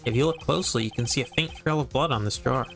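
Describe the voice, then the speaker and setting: A man acts out an earnest defense attorney from a courtroom video game. He speaks with determination in a medium-pitched male voice. Music plays behind the fan-dub performance. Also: quick pace, a bit bright, close to an everyday voice. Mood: earnest, determined. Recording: music under the voice.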